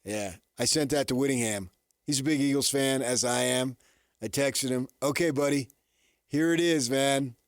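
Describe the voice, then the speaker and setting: A man's voice: mid-range voice, normal speed, dry recording, clean studio sound. A man hosting a sports radio show, talking casually in a folksy, conversational way and often tossing out rhetorical questions.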